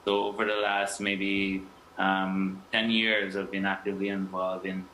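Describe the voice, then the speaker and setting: Man, flat, bright, echoey. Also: sits low, unhurried. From Guyana. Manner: hesitant, many fillers and restarts. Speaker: guest. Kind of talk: interview answers. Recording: echoey room with faint noise.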